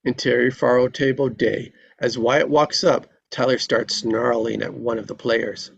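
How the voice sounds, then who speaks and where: A man's voice: medium pitch, steady tone, unhurried, creaky, breathy edge, lo-fi sound. A man reading stage directions as narrator in a live screenplay table read.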